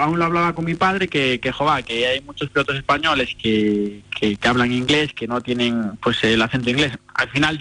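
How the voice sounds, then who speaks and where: Young man, from Spain, rally driver, relaxed fillers, fairly high voice, dry sound. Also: no room sound. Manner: relaxed, laughing, many fillers and repeats. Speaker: rally driver, interview guest. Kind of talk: phone interview. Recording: dry phone line.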